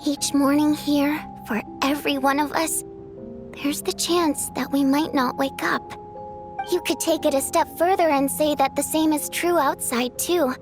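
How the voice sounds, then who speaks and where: An actress playing a gentle, thoughtful young woman in a video game, speaking softly, wistfully and with care. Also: sits high, fairly even intonation, measured pace, over music. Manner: soft, wistful, caring.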